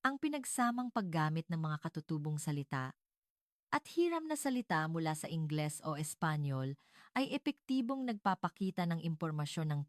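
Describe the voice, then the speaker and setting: Low-pitched woman narrating a story, dry sound. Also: dry recording. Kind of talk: scripted dramatic first-person story narration.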